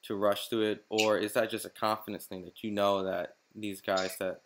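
A man's voice: on the low side, level tone, normal speed, a bit bright, slight background noise. A man interviewing a boxer, asking long questions with many restarts and fillers. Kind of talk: casual interview.

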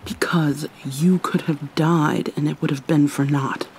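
A woman's voice: sits low, steady tone, a little slow, a little mellow, a little hiss or hum, low-quality recording. A woman playing an irritable, haughty but secretly caring vampire mermaid in an ASMR roleplay, sardonic and formal with exasperated sighs. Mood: sardonic anger.

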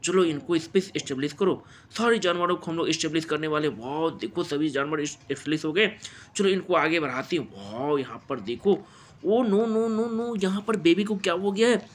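Higher-pitched Indian man narrating toy play. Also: lively intonation, normal speed. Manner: exclamatory, repeats wows and interjections. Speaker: presenter of toy play videos.

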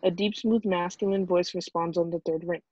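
A woman's voice: fairly low pitch, steady tone, a little fast, darkish tone, dry recording. A woman reading stage directions aloud as scripted narration at a film table read. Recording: dull lo-fi video call audio.

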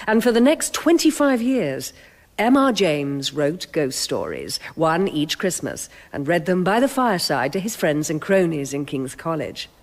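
An actress playing a bossy, sardonic documentary film-maker in a radio drama, curt and dryly scornful. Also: female voice, on the low side, a little mellow. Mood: presenterly. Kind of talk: naturalistic radio drama acting.

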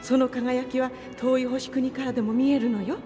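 A woman playing a gentle, refined, serene queen mother in an anime cartoon. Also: sits high, level tone, normal speed, over music, natural voice. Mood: serene, reassuring. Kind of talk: Japanese anime character acting.

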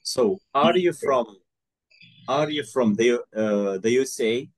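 A man teaching an English lesson over a video call, slow and patient, encouraging his student and repeating phrases. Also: medium pitch, no echo, rough recording. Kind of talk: online one-to-one English lesson.

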